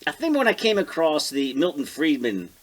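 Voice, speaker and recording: Lively-pitched American man, casual co-host. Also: moderate pace. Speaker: podcast co-host. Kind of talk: casual chat over a beer.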